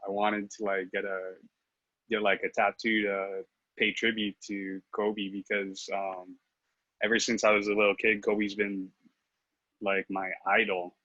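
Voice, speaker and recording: A man's voice: lowish voice, level tone, unhurried, bright, forceful tone, dry recording, highs cut off. A man, raised in Los Angeles, chatting as a podcast guest, hesitant with many fillers and restarts. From Philippines, raised in Los Angeles. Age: 30s. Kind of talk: casual interview chat.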